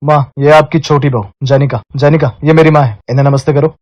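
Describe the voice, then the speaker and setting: Guy doing a stubborn late-20s indian son for a cartoon, curt, slightly high male voice, dry sound. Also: steady tone, a little fast, a little mellow, no room sound, highs cut off, lightly acted. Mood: firm. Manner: curt, insistent. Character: defiant, headstrong 28-year-old Indian younger son.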